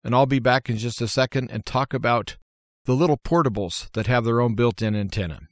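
An American man with a medium-pitched voice talks through practical advice as a show host. His delivery is informal and plainspoken, with a folksy, explanatory feel. The recording is very dry, with no room sound. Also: a little fast, no echo. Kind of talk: informal how-to monologue.

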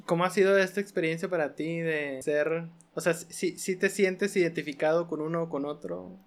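Podcast host: Mexican man, slightly high voice, informal, feels his way through questions. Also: steady tone, moderate pace. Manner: informal, rephrases questions as he goes. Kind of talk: casual interview questioning.

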